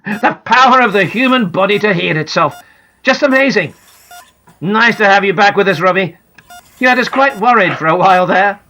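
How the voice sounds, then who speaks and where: A woman voicing a kindly male hospital doctor in a storybook, speaking slowly and soothingly in a deep put-on voice. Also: lively intonation, pushed and bright, poor audio. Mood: reassuring.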